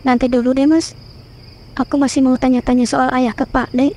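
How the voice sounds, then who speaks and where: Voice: high-pitched, flat delivery, moderate pace, warm tone, a little hiss or hum. A performer voicing an anxious young woman in a horror cartoon, who speaks in a worried, earnest way.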